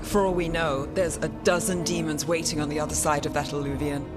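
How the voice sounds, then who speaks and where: A woman playing a cool, sardonic investigator in a fantasy video game, with a dry and measured way of talking. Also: sits low, fairly even intonation, natural voice. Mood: cool, wry. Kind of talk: video game cutscene acting. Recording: loud music behind the voice.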